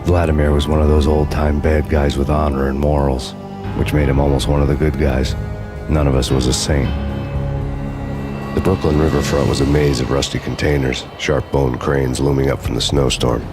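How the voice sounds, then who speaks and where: An actor playing a brooding, sardonic hard-boiled cop, narrating a noir game in a world-weary deadpan. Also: male voice, a bass voice, moderate pace, over loud music. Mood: grim, brooding. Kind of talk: film noir scripted narration.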